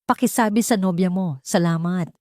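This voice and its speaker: A woman's voice: fairly low pitch, normal speed, dark tone, no room sound, close to an everyday voice. Woman reads ailing old widower: sorrowful, restrained, very dry sound, audio drama. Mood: grieving, subdued. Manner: grieving, restrained emotion.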